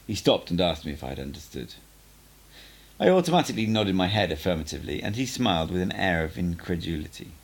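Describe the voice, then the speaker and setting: Creaky low-voiced man reading a book aloud. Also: big pitch swings, fast talker. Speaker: amateur audiobook reader.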